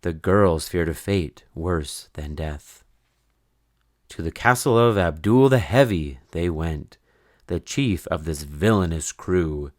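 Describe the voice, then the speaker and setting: Deep-voiced man reading a rhyming storybook. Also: lively intonation, a little mellow, no echo, studio quality. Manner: measured, sing-song verse delivery. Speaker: storybook reader. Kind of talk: expressive rhyming children's story read-aloud.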